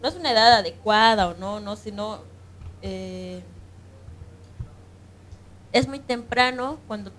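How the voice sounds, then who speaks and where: A woman's voice: medium pitch, takes it slowly, pauses often, fairly bright tone. Bolivian woman chatting on a radio panel. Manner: conversational, often seeks agreement. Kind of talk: unscripted discussion.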